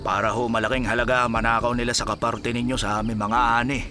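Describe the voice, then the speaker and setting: Radio drama schemer male performer: Filipino farmhand, medium pitch, wheedling, sly and smug. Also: little pitch movement, quick pace, background noise, natural voice. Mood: falsely humble. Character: sly scheming farmhand, deferential informer, secretly gloating.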